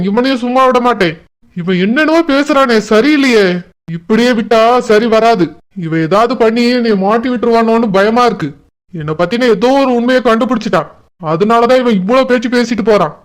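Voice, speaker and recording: A high-pitched, jealous young man from a village cartoon, acted by a man. He is bossy and threatening, scolding in a Kanyakumari Tamil accent as if everyone were his servant. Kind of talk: village cartoon drama acting.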